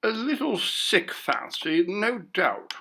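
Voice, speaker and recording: Guy doing a gentle pious gentleman in a ghost story, lifted pitch, careful old-timey manner. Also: very animated, vocal fry, heavily acted. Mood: mild, curious. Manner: measured, conciliatory, old-fashioned speech. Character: mild, pious, tolerant gentleman, gently comforting. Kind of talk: dramatised ghost story reading.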